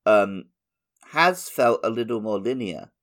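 A man's voice: lowish voice, animated, measured pace, fairly bright tone. A man co-hosting a podcast, chatting casually about a video game and often searching for his words as he goes. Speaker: podcast co-host.

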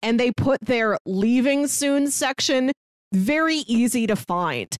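A woman's voice: a little high, expressive, slow pace, smooth, dry recording, clean studio sound. A woman co-hosting a podcast, talking in a casual, conversational way about what she watches and reads. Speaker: podcast co-host. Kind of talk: casual review podcast chat.

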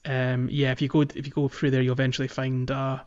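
A dull, very dry close recording of a man with a mid-pitched voice. He co-hosts a casual podcast, explaining things in a halting, conversational way. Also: completely flat delivery, darkish tone, smooth, no echo. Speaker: podcast co-host. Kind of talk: casual game-walkthrough podcast chat.

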